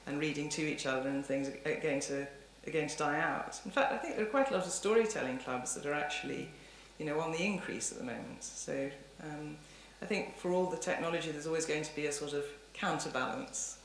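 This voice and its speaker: A woman's voice: sits low, brisk, hardly any pauses. A woman lecturer answering audience questions in a thoughtful, discursive, hedging way. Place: indoor lecture hall. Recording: roomy lecture hall, faint background noise.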